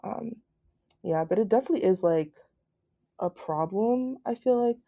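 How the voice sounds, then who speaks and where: A young woman student chatting on a podcast, with a slightly deep voice and heavy use of "like". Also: animated, moderate pace, gravelly, highs cut off. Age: young adult. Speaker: student guest. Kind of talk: casual podcast discussion.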